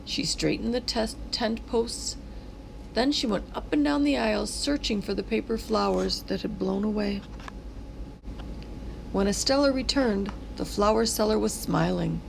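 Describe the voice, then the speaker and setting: A woman reading a children's story aloud in an expressive, dramatic way, drawing words out. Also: medium pitch, a little slow, creaky, a little hiss or hum, poor audio. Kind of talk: expressive read-aloud narration.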